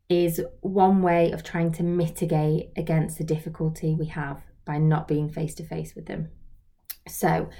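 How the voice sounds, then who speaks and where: A woman with a soft-edged, mellow voice that sits a little low. She talks as a trainer giving an informal lesson, explaining things reflectively and often posing questions directly to the listener. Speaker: social work trainer and video host. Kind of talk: informal instructional talk to camera.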